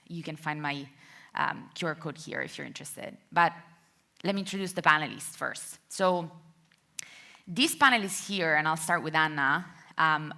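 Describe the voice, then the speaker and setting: Italian-accented woman moderator, low and bright, friendly on a conference stage mic. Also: vocal fry. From Italy. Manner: friendly, with light laughs and fillers. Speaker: panel moderator. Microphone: handheld microphone.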